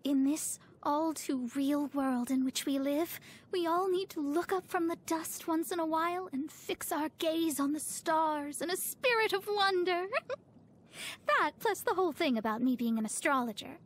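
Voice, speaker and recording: A woman's voice: high-pitched, normal speed, slight background noise, close to an everyday voice. An actress voicing a proud, haughty young woman astrologer in a video game, speaking in a formal, pompous, theatrical way. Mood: earnest, proud. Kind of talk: scripted video game character voice lines.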